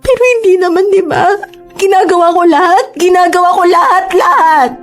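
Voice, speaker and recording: A woman's voice: extremely high pitch, brisk, faint music behind. A woman acting a hurt, remorseful young mother in a Filipino radio drama, emotional and pleading. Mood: tearful, exasperated.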